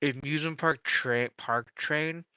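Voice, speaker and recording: Bright, dry-sounding streamer man. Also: fairly high pitch, fairly even intonation, clear, resonant tone, no echo, dull audio. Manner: incredulous, asks rapid repeated questions. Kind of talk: casual live-stream reaction.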